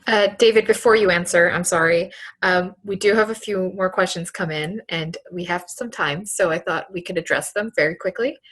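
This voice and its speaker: A woman's voice: on the low side, moderate pace, a bit bright. A woman moderating a conference call, politely relaying questions and thanking the speakers.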